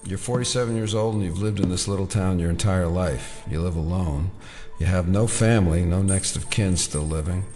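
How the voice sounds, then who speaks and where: Guy playing fed investigator, lowish voice, dry and skeptical, radio play w faint music. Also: darkish tone, close to an everyday voice. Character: male federal agent, probing, skeptical, dryly wry. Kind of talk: audio drama acting.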